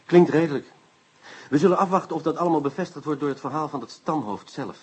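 An actor playing an impatient police inspector in a radio play, brusque and given to repeating himself and cutting in. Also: male voice, fairly high pitch, fast talker, background noise, lightly acted. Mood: pondering.

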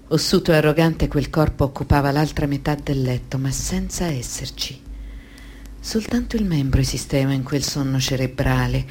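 An actress playing an anguished woman who survived abuse, in an intense, deliberate radio-drama monologue. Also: low-pitched, creaky, darkish tone, a little hiss or hum.

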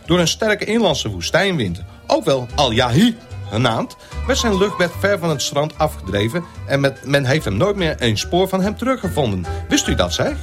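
An actor playing a jovial, pompous know-it-all plane passenger with an emphatic manner, in a satirical radio play. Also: male voice, on the high side, big pitch swings, normal speed, faint music behind. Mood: pompous, anecdotal. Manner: emphatic, storytelling.

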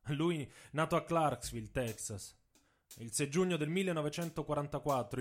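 A man's voice: medium pitch, a little mellow. A man reading a scripted narrative as a storyteller, with an intense, dramatic, literary delivery. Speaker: narrator and author reading own text.

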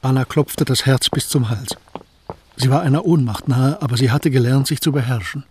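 A man narrating a radio play in a steady storytelling manner. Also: mid-range voice, moderate pace, a little hiss or hum. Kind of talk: scripted radio drama narration.

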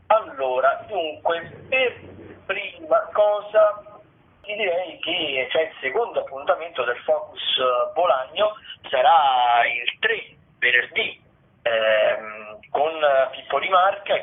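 An Italian man, a theatre critic giving informal radio listings, often halting and searching for words. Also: mid-range voice, husky. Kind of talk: spoken weekly theatre listings. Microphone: phone call. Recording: thin narrow-band telephone line.

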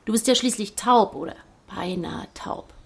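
A little bird in a literary radio play, with a medium-pitched female voice. It speaks bluntly and pertly, needling and teasing like an old companion. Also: moderate pace, vocal fry, slightly rough. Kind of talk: German literary radio drama acting.

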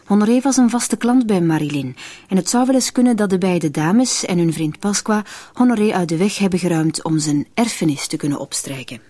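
A woman's voice: fairly low pitch, expressive, fairly quick, dark tone, natural voice. A woman narrating a crime radio drama in a scripted storytelling style. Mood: measured narration.

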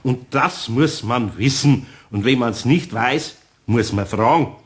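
An actor playing a good-natured older master craftsman with a Bavarian accent in a radio play, homely, amused and gently instructive. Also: mid-range voice, takes it slowly, close to an everyday voice. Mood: patient. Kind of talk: German radio play acting.

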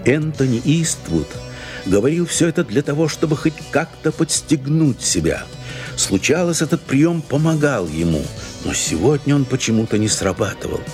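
A man reading scripted narration for an audio drama in a theatrical, expressive storytelling manner. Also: medium pitch, moderate pace. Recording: music behind the voice.

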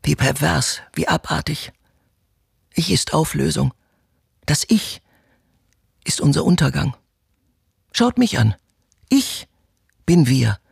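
Stern old royal mother-in-law, creaky husky voice, very dry close sound, imperious radio play stuff. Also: sits low, big pitch swings, takes it slowly, long pauses, a little mellow, no echo, exaggerated voice. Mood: scornful, commanding. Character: haughty imperial mother-in-law, stern guardian of dynastic tradition.

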